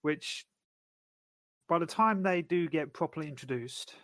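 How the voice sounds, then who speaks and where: Higher-voiced thoughtful podcast man. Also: unhurried, fairly bright tone, no echo. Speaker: podcast co-host. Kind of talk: casual film discussion.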